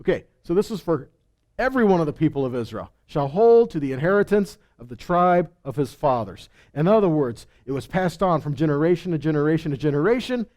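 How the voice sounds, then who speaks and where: Male Bible teacher, notably high register, conversational sermon delivery, didactic, asks and answers his own questions. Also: animated, a little fast, a little mellow.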